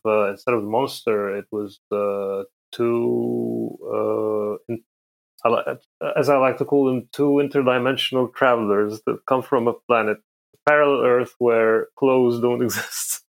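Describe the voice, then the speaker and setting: A middle-aged man from Egypt, chatting as an interview guest in a hesitant, halting way. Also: on the low side, vocal fry, low-quality recording. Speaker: podcast guest, comic creator. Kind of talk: casual interview chat.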